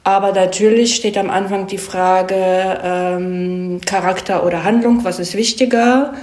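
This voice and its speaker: A woman being interviewed as a screenwriter, explaining conversationally, haltingly and with digressions, often tagging questions onto her phrases. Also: mid-range voice, fairly even intonation, a little mellow. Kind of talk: conversational explanation of storytelling craft. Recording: echoey room.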